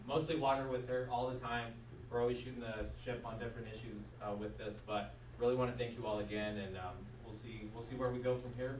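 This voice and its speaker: A man speaks quickly and courteously at a public meeting, keeping things informal. His mid-pitched voice carries through a reverberant room. The microphone sits some way off. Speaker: district representative for a state senator. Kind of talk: short public remarks. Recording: echoey room, distant mic, faint noise.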